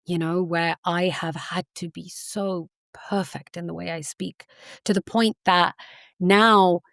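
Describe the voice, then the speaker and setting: A woman's voice: on the low side, fairly even intonation, slow, creaky, no echo, clean studio sound. A woman from England speaking as an academic guest in an interview, earnest and impassioned, often saying "you know". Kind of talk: conversational interview.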